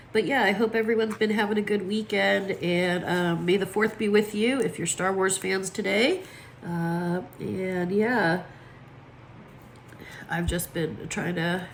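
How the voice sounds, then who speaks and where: Planner vlogger, woman, slightly low, froggy from allergies, easygoing chatter, faint room hiss. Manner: chatty, lots of fillers, talks while placing stickers. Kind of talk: casual chat while crafting.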